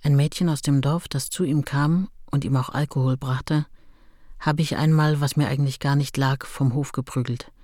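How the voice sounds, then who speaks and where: Hurt wife, firm, reproachful, controlled, very dry sound, radio drama. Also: female voice, rumbling low, fairly even intonation, normal speed, mellow, dry recording. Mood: stern. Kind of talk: radio play letter reading.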